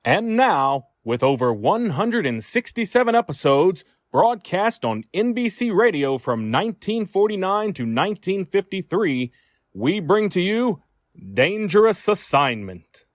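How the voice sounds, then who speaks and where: A man's voice: high voice, very animated, unhurried, no room sound, clean studio sound. A man hosting a radio programme with a scripted promotional introduction. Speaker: host of old-time radio show.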